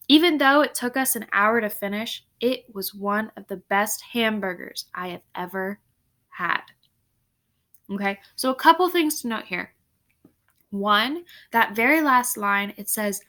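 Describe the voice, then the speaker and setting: Bright-voiced woman teacher reading aloud. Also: medium pitch. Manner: explanatory, often checking in with okay and right. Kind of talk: storybook read-aloud with casual teaching asides.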